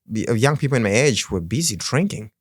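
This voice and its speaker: Guest: Swahili-speaking man from the Congo, earnest, quick to laugh, dry studio sound. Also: mid-range voice, animated, no echo. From Democratic Republic of the Congo. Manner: earnest, laughs often, restarts words. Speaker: podcast guest. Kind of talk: casual interview chat.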